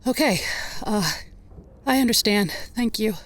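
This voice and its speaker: A woman's voice: medium pitch, lively intonation, unhurried, slight background noise, close to an everyday voice. An actress playing a level-headed, insistent woman in an audio drama, earnest and firm. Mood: resigned, practical. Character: level-headed, insistent woman giving witness and guarding a friend. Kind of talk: naturalistic audio drama acting.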